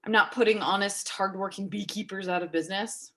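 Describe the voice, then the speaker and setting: A woman acting a blunt, nervously polite character in a naturalistic stage reading, with a halting, dry, tactless way of talking. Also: medium pitch, steady tone, bright, forceful tone. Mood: probing, awkward. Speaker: amateur or local theatre actor.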